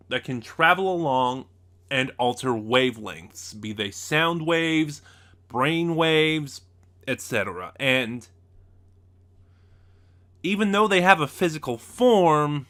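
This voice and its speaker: A man giving a casual, unscripted review as a reviewer, speaking haltingly and often losing his thread. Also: medium pitch, a bit bright. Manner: halting, loses his train of thought.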